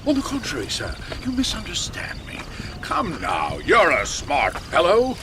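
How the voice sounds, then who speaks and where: Flamboyant, high-pitched eccentric. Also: male voice, huge pitch swings, unhurried, noisy background. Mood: gleeful, condescending. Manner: florid, theatrical, condescending. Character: eccentric, callous, flamboyant filmmaker in the Old West. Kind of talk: video game character acting.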